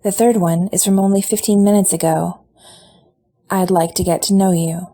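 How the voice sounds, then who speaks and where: A woman reading a fan fiction story aloud, with relaxed storytelling and lightly voiced dialogue. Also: mid-range voice, normal speed. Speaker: podfic reader.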